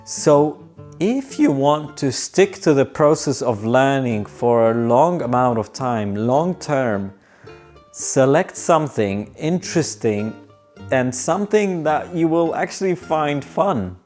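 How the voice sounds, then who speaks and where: A young man who learned English as a second language talks slowly and deliberately, like a tutor walking a learner through a plan. His pitch sits in a normal middle range. Soft guitar plays faintly behind him. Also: animated. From moved to Australia as a teenager. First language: not English. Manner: slow, deliberate explaining. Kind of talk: scripted video lesson with storytelling. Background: faint guitar music.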